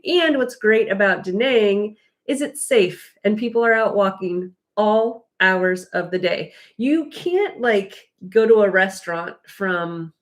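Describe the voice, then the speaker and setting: An American woman co-hosting a live travel stream, chatting casually and explaining things in a rambling, chatty way. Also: medium pitch. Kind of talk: casual chat, giving travel tips.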